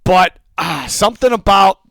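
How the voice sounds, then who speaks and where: A man's voice: high-pitched, normal speed, gravelly, a bit bright, dry recording. A man hosting a casual football picks chat, rambling and repeating himself with a jokey streak. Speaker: host of a football picks show.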